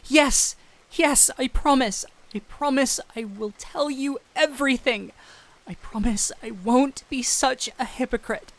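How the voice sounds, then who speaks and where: A woman playing a gentle, anxious doctor in an audio roleplay, speaking earnestly and haltingly to the listener over rain. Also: high-pitched, no echo. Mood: desperate pleading. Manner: earnest, hesitant, pleading with broken phrases. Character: gentle, anxious human doctor pleading with a small cat-thief. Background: rain ambience.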